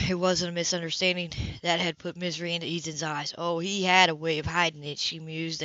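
A woman's voice: lowish voice, a bit bright, no echo. A woman reading a novel aloud as an amateur, sometimes stumbling and rereading phrases. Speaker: amateur audiobook reader. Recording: dull, lo-fi audio.

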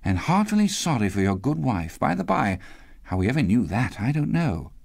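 A man's voice: mid-range voice, very animated, normal speed, gravelly, darkish tone, dry recording, studio quality. A man voicing a kindly, humble father with fragile cheer over his grief in a storybook reading. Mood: earnest, grateful.

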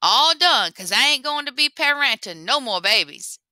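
Make it a cocky little rabbit trickster from a storybook, a woman putting him on, his voice jumping up high and bright, sly and lilting, always a step ahead of everyone. The sound sits close and dry, no room around it. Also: animated, moderate pace, no echo, exaggerated voice.

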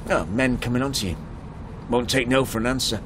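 A man's voice: mid-range voice, fairly quick, close to an everyday voice. A man acting a chatty, probing motorist in a naturalistic radio drama, speaking hesitantly over car noise. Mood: awkward, probing. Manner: hesitant, probing small talk. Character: chatty, probing male motorist, insurance assessor. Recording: clear vehicle noise around the voice. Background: car engine and road ambience.

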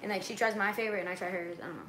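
Bright-voiced young woman, chatty and slangy. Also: mid-range voice, steady tone, very fast, a little hiss or hum, rough recording. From Dominican heritage. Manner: chatty, swears freely, slangy, lots of filler "like". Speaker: vlogger on a livestream. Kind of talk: casual livestream chat, reading out viewer comments. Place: bedroom at night, wrapped in a blanket. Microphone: webcam or phone at arm's length.

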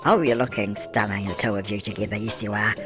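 A man's voice: fairly low pitch, steady tone, fast, lightly acted. Nana Beryl, a man putting on a cooing Aussie granny. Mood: doting. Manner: cooing, endearments, answers own questions. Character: chatty doting old granny, fussing and cooing. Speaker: gameplay narrator. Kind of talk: life-sim gameplay commentary in character. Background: music.

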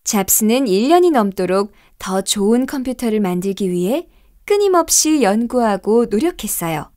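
A woman narrating a scripted children's story in an expressive, gentle storytelling manner. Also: a little high, moderate pace. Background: music.

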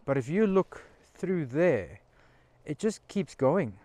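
A man's voice: fairly high pitch, very animated, takes it slowly, warm tone, no echo. A man, chatting eagerly to camera while camping outdoors in a pine forest. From Australia. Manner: enthusiastic, chatty, talks straight to camera. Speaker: camping vlogger. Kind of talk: casual solo camping vlog. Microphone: camera mic, handheld selfie and tripod framing.

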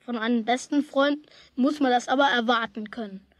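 A stubborn, outspoken young boy, acted by a woman in a children's radio play. The voice is fairly high and blunt, with a grumbling, colloquial way of talking. It is recorded close and dry. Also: normal speed, dry recording, natural voice. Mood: pondering, matter-of-fact.

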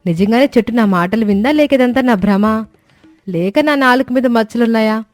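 A woman's voice: fairly high pitch, moderate pace, darkish tone, no echo, faint music behind, natural voice. A woman playing an eager, boastful and scheming young daughter-in-law in a cartoon moral story. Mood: wondering.

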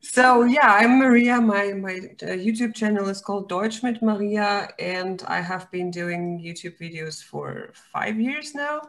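A woman's voice: mid-range voice, a little slow, few pauses, a bit bright. A woman from Latvia whose first language is Russian, introducing herself on a video call in a confident, wry way. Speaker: YouTube German teacher. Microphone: webcam on a video call.

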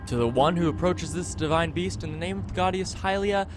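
A man voicing a grave, formal spirit that announces a trial, reading out video game dialogue in a measured way. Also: high-pitched, noisy background, close to an everyday voice. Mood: solemn. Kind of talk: scripted video game dialogue read aloud.

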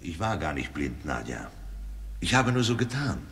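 An actor playing a brave, resolute Russian courier of the Tsar in a German radio play, solemn and declamatory. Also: male voice, extremely low pitch, big pitch swings, moderate pace, a bit bright, heavily acted. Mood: solemn, composed.

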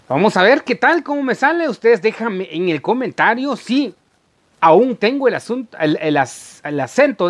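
A middle-aged man, chatting casually to camera in a halting, repetitive way as a vlogger. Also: high-pitched. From Guatemala. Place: indoor room at home.

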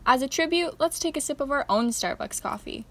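Woman acting a peppy, high-voiced radio host. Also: animated, a little fast. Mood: peppy presenting. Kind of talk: scripted radio presenting.

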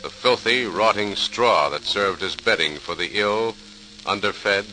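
A man's voice: fairly low pitch, unhurried, pushed and bright. A man narrating an old-time radio program as its announcer, in a formal, dramatic scripted style. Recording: noisy, hissy old radio recording.

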